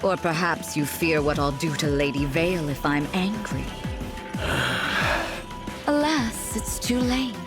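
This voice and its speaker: Villainess commander for a game: cold, mockingly sweet, lowish voice, very unhurried, with dramatic swoops. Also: female voice, very animated, music in the background, heavily acted. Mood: mocking menace. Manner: slow, deliberate, theatrically mocking. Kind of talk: video game cutscene voice acting.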